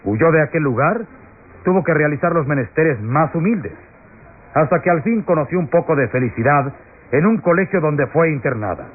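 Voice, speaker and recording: A tinny, slightly noisy old radio drama recording carries a fairly high-voiced male prosecutor, played by an actor. He declaims solemnly and pompously, accusing before a jury with theatrical weight. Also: animated, normal speed, close to an everyday voice. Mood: indignant, grave. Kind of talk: melodramatic classic radio drama oratory.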